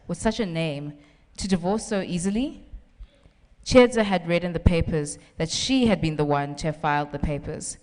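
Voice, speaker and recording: A woman's voice: on the low side, a little mellow. A woman novelist from South Africa, chatting on a festival panel with frequent "um"s and laughter, then reading from her book. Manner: chatty, laughs often, many "um" fillers. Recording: reverberant festival hall.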